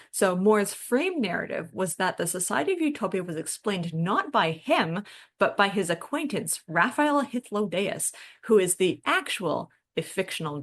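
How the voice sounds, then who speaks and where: Woman, slightly low voice, podcast co-host, informal explanatory chat, colloquial phrasing. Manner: informal, peppered with "like" and "you know". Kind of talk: casual explanatory discussion.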